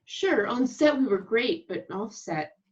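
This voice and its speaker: A woman's voice: fairly high pitch, lively intonation, measured pace, darkish tone. A woman playing a wry actress from a sci-fi series in an amateur table read, on a slightly dull lo-fi recording. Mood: wry, guarded.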